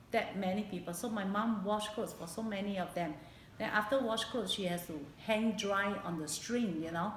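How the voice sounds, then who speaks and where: Singaporean woman, 58, in an echoey room. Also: medium pitch. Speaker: interviewee recalling her childhood. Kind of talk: personal oral history interview. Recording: echoey room, background hiss.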